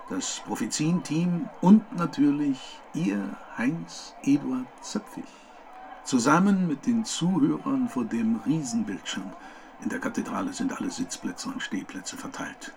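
Creaky, pompous male radio reporter. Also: mid-range voice, darkish tone, slight background noise. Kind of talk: parody live outside-broadcast reporting.

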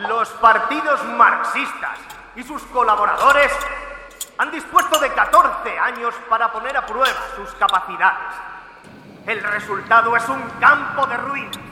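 A woman's voice: fairly high pitch, measured pace, pushed and bright. A woman playing a strident political orator in a radio drama set in 1930s Germany, in an echoey hall. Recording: echoey hall, long reverb.

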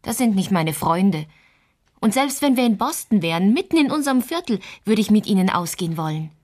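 A woman's voice: medium pitch, very animated, brisk, darkish tone. An actress playing a curious, stubborn, loyal young girl in a radio drama, questioning and arguing in an earnest way. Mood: earnest, defiant.

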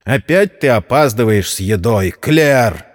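A man voicing a loud, bossy, impatient brother who hectors his sister in an audiobook story. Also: medium pitch, expressive, measured pace, natural voice. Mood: grumbling, impatient. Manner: hectoring, exclamatory. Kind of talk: audiobook character acting. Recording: long reverberant hall sound.

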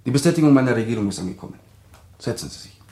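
A man acting a brisk, dryly ironic consular official, formal and instructing, in a German radio drama. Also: medium pitch, animated, darkish tone, reverberant, a little hiss or hum, lightly acted. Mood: officious.

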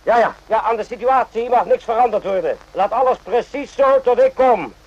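An actor in a radio play voicing a gruff, irritable army sergeant with a husky voice and a curt, sneering way of talking. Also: male voice, very high-pitched, normal speed, dull audio. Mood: irritated, urgent.

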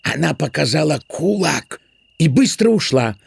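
A man narrating a literary audio drama in a measured, expressive storytelling style. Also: fairly high pitch, creaky, slightly rough, no room sound, clean studio sound, exaggerated voice.

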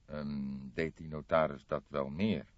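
A man's voice: rumbling low, level tone, no room sound, highs cut off. Man playing a grave, thoughtful older detective. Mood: pensive. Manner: deliberate, grave, pensive. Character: thoughtful older male police detective, grave, gently mocking.

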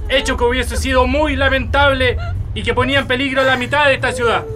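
Radio drama casting: dutiful soldier, very high pitch, clipped military reports, over a noisy clamouring scene. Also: male voice, fairly even intonation, a little fast, a crowd in the background. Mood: formal. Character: obedient young soldier reporting to his commander.

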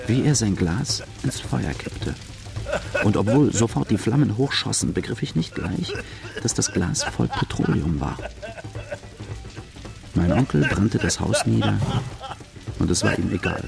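An actor playing an outlaw recounting his boyhood, as gravelly first-person narration in a radio drama. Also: fairly low pitch.

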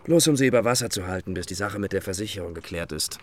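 Low, hushed, sociable middle-aged man. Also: expressive, fast talker, a little hiss or hum. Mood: discreetly chatty. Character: sociable middle-aged Irishman chatting discreetly at a funeral. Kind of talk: radio drama dialogue.

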